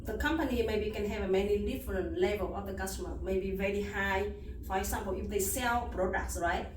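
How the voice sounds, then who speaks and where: A woman from Vietnam with a Vietnamese accent, answering job interview questions in halting, non-native English. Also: medium pitch. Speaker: job candidate being interviewed. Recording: roomy echo, distant mic, loud background noise.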